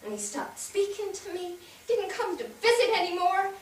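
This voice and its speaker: A woman playing a grieving older widowed mother in a staged reading, with a plaintive, halting delivery full of shame and regret. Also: very high-pitched, sing-song. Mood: regretful. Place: theatre stage, picked up from far off. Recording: distant echoey theatre, noticeable noise.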